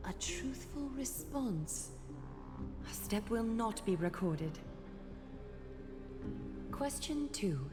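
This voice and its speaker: A woman voicing a stern, regal female guardian who speaks in a formal, measured way. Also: fairly high pitch, lively intonation. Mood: solemn. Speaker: guardian judging outsiders. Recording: game audio with reverb and music.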